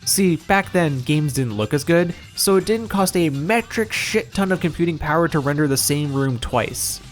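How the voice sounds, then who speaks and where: A man's voice: fairly high pitch, lively intonation, moderate pace. A man narrating a scripted video game review in a wry, opinionated, casually profane manner, as the reviewer himself. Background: music.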